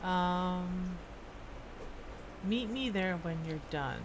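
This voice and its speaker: A woman's voice: on the low side, expressive. Musing woman streamer over noisy game sound. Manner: musing, halting, talking to herself. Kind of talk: casual gameplay commentary, reading quest text aloud. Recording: clear game ambience and noise. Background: video game ambience.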